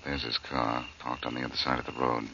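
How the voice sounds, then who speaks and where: An American actor playing a glib, quick-witted, confident amateur detective in a 1940s radio drama, with needling banter. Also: male voice, extremely low pitch, flat delivery, vocal fry. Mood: brisk, confident. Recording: muffled old radio recording, faint noise.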